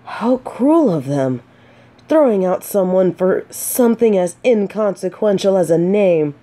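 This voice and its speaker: A woman playing a gentle, earnest female knight in a fantasy romance audio drama, speaking softly with hesitant, dramatic pauses. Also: medium pitch, animated, lightly acted. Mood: concerned. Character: gentle, earnest female knight consoling a despairing blind companion.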